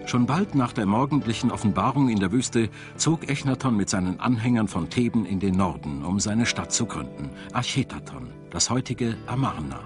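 A man reading scripted documentary narration in a measured, storytelling manner. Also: on the low side, animated. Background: music.

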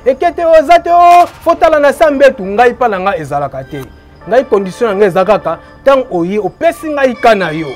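A man with a notably high voice, a healer from Boma in Congo, explains his remedies in an interview. He repeats his phrases emphatically and lets them trail off quietly. Faint music plays underneath. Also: very animated, brisk. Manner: repetitive, emphatic, trailing off low. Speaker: traditional healer.

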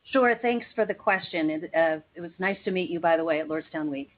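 A woman's voice: lowish voice, fairly quick. Woman executive on a tinny phone line. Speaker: company executive on an earnings call. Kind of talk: formal business question-and-answer.

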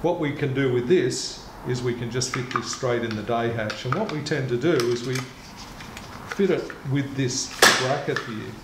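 A man in his sixties giving a measured, methodical product demonstration as a kayak retailer, speaking in an indoor shop. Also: mid-range voice. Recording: echoey room with clear background noise.

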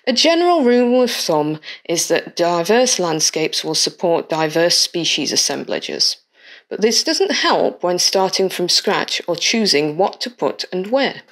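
Lady doing a science explainer voice-over, a bit low-pitched, calm and clear. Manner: clear, measured explanatory delivery. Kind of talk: scripted educational voice-over.

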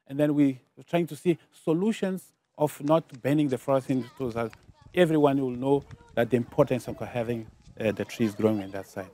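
A man doing community outreach, speaking haltingly in an interview with many repeated words. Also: mid-range voice, mellow.

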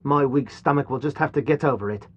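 A man's voice: medium pitch, fast talker, husky, dry recording, close to an everyday voice. An actor voicing a flippant, nearsighted, hungry Englishman trapped underground in a video game, with a wry, wordy manner. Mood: exasperated. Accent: British. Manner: wry, wordy, posh turns of phrase. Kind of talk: video game character dialogue.

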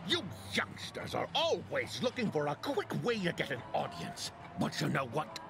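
A man's voice: on the high side, huge pitch swings, normal speed, creaky, music in the background, exaggerated voice. An actor playing a grumpy, jaded old man in a video game, grumbling scornfully and theatrically. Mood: lecturing, weary. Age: older.

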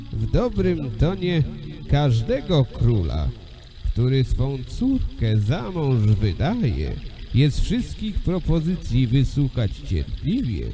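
A man playing a regal, fatherly sea king in a fairy-tale audio drama, speaking in solemn, formal, old-fashioned phrases. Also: medium pitch.

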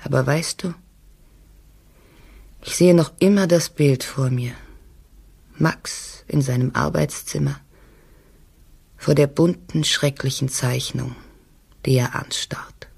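Husky, confiding troubled wife. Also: female voice, rumbling low, takes it slowly, long pauses, a little mellow, full character voice. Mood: wistful, tender. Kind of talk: intimate letter monologue in radio drama.

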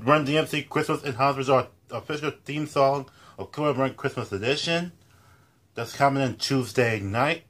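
A man's voice: mid-range voice, fairly bright tone. A young man hosting a home cooking show, making a casual announcement to the camera from his kitchen, leaning on repeated catchphrases. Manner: repetitive, emphatic catchphrases. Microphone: built-in camera mic at close range.

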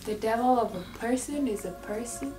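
Young woman guest, higher voice, teasing and jokey, bedroom vlog. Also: moderate pace, mellow, faint music behind, poor audio. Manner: teasing, joking, laughs between phrases. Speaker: guest co-host. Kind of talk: casual chat. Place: bedroom, indoors.

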